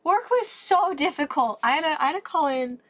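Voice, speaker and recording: A woman's voice: high-pitched, expressive, brisk, fairly bright tone. A woman chatting on a live stream while opening trading cards, a little congested and still getting her voice back, upbeat and rambling. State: congested, voice not fully recovered. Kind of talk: casual live-stream chat.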